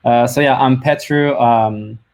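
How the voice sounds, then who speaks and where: A man's voice: mid-range voice, fairly even intonation, unhurried. A man from Moldova, a guest blockchain expert, introducing himself in a friendly way.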